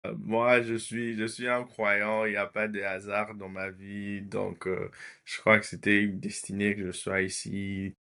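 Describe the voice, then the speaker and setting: Hesitant Congolese man, lowish voice. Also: little pitch movement, unhurried, sharp tone, clean tone. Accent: Congolese French. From Democratic Republic of the Congo. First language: Lingala. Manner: hesitant, many fillers, drops in English words. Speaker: podcast guest. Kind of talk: casual interview talk.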